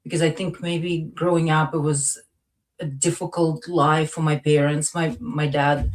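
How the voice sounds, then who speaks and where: Woman guest from Latvia. Low voice, unassuming, feels for her words in informal personal talk. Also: flat delivery, measured pace, poor audio. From Latvia, then part of the Soviet Union. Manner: modest, hesitant, searching for words. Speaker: interview guest. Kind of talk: casual personal conversation.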